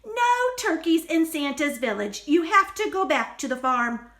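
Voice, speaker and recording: Woman doing a storybook elf guard, high, bright and forceful, officious, scolding. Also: big pitch swings, exaggerated voice. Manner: emphatic, scolding, drawn-out retorts. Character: stern, officious male Christmas elf guard.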